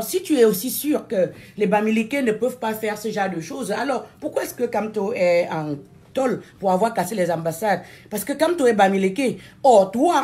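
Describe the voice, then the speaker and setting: A woman from Cameroon, a native French speaker with a Cameroonian accent, giving an impassioned political address in an emphatic, deliberate way, repeating phrases for stress. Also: medium pitch, darkish tone. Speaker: political activist addressing viewers.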